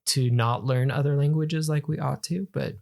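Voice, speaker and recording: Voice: medium pitch, steady tone, moderate pace, gravelly, darkish tone. A podcast guest speaking off the cuff, rambling and correcting himself mid-sentence. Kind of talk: casual chat.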